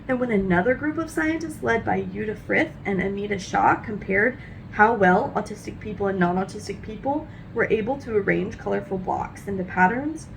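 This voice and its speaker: A woman with a fairly high, very animated voice reads a children's nonfiction book aloud. Her delivery has a marked creak at times and careful, teacherly phrasing. A faint noise with a low rumble sits behind her. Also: low-quality recording. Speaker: read-aloud host.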